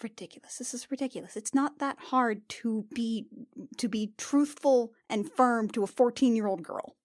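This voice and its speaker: A woman's voice: on the high side, normal speed, darkish tone, lightly acted. A woman playing an exasperated, stubborn woman in a tabletop game, muttering and curt.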